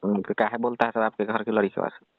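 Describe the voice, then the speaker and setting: A man's voice: fairly low pitch, little pitch movement, fast talker, dry recording, close to an everyday voice. A man playing a married village doctor with a Bihari accent, reluctant, self-important and mock-protesting in a comic phone call. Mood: offended. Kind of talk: comic prank-style phone call, conversational. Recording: muffled narrowband phone call.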